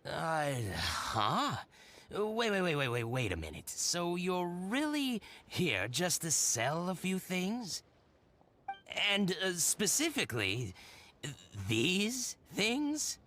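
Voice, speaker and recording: An actor playing an accommodating, flustered merchant in a video game, with a hesitant, stammering, placating delivery. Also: male voice, high-pitched, wildly swooping pitch, slow pace, bright, forceful tone, slight background noise, full character voice. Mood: flustered, confused. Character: flustered, accommodating male merchant, eager to haggle.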